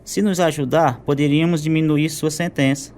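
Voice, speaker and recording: A man's voice: a little high, fairly even intonation, moderate pace, darkish tone, natural voice. An actor playing a sly, persuasive male KGB prison officer in a radio drama, coaxing and probing.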